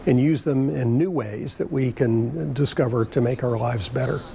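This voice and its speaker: A man's voice: medium pitch, normal speed, vocal fry. A man giving explanatory interview answers as a facility expert. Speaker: space test facility expert.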